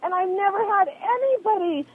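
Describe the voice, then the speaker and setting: Indignant female caller, acted by a woman, very high, rapid-fire voice, scolding and interrupting, radio comedy phone-in on a tinny line. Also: lively intonation, a little hiss or hum. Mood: firm, disapproving. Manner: assertive, lecturing, interrupting.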